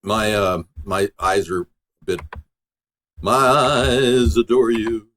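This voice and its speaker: A man with a lowish voice hosts a casual livestream. He talks in a loose, rambling way, as if answering people in a chat. His delivery is informal and conversational. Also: steady tone, slow pace, a bit bright, a little hiss or hum. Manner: rambling, replies to viewers' messages. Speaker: livestream host and amateur musician.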